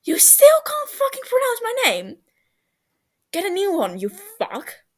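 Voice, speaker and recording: Woman voicing Tubbo, a high-pitched, indignant young man. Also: huge pitch swings, a little slow, exaggerated voice. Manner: loud, exclaiming, sweary. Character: Tubbo, excitable foul-mouthed young man, indignant and affectionate.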